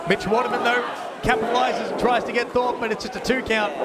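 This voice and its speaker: High-pitched wrestling commentator man over roaring crowd. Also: fast talker. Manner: excitable, bantering with co-commentator. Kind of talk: live sports commentary. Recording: loud crowd noise behind commentary. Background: noisy wrestling crowd.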